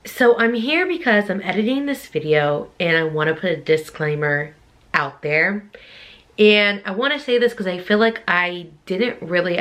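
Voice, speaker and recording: A woman's voice: mid-range voice, a bit bright. A woman talking casually to viewers as a book vlogger, in an informal, conversational way with frequent hedging asides. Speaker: book reviewer video host. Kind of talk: casual chatty vlog talk to viewers.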